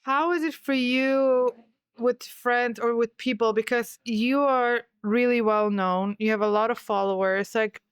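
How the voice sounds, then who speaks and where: A woman's voice: a little high, fairly even intonation, a little slow, smooth, no room sound, clean studio sound. A woman hosting an interview podcast, talking reflectively and asking her guest questions. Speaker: podcast host. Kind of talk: casual interview chat.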